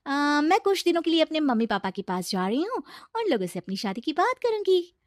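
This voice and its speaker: A woman voicing a greedy, coquettish young girlfriend with a coaxing, demanding manner in an animated story. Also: high-pitched, fairly quick, no echo, studio quality, pitched above the performer's own voice.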